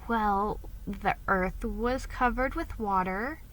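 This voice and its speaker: Woman as young girl, storybook big sister, earnest, hopeful, protective, bright, unhurried. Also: mid-range voice, slight background noise, poor audio. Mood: thoughtful.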